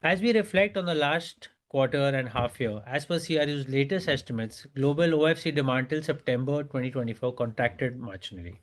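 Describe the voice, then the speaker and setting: A man with an Indian accent and a mid-pitched voice presents as a company executive. He reads prepared business material formally, in the measured register of an earnings briefing. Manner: formal, scripted reading.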